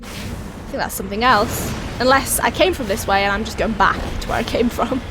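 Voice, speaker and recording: A woman with a cold and a raspy, hoarse voice, chatting casually as she plays a video game and thinks aloud. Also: fairly high pitch, a little fast. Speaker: let's play host. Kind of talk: casual gameplay commentary. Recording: voice over steady game engine noise.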